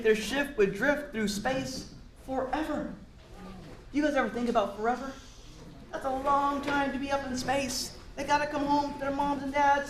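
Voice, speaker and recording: A man's voice: very high voice, fairly bright tone, slight background noise. A man reading a picture book aloud to children, encouraging them and chatting in asides to explain words and ask questions. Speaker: read-aloud storyteller for children. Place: roomy indoor space with a group of children. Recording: echoey room.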